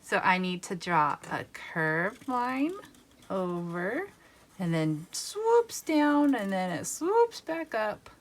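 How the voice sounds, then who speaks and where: A woman's voice: mid-range voice, sing-song, takes it slowly. Woman patiently guiding a drawing lesson. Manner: patient, step-by-step instructions. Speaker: art teacher. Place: home art studio.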